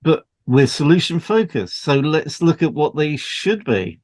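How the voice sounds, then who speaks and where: A man's voice: on the high side, big pitch swings, unhurried, a little mellow. A man co-hosting an educational podcast, reading scripted material in an explanatory style. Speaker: podcast co-host, hypnotherapist.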